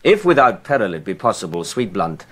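An actor playing a bold, hopeful young nobleman rallying his army, declaiming Shakespearean verse in measured phrases with a husky voice. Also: lowish voice, big pitch swings, a bit bright. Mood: composed command. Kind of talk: classical Shakespearean stage acting.

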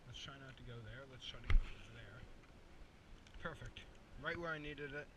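A man's voice: mid-range voice, moderate pace. Young male fishing vlogger, jokey and chatty, action cam outdoors, audible wind noise. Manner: chatty, jokey, bursts into loud excited cheers. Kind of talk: casual outdoor fishing commentary. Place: outdoors at a pond. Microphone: head-mounted action camera. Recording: distant mic with outdoor noise.

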